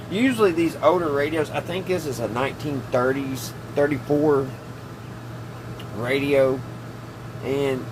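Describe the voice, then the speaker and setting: A man hosting a casual hobby workbench video, rambling and hesitant as he thinks aloud. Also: fairly high pitch. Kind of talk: casual workbench vlog about old radios. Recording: clearly noisy room, steady hum.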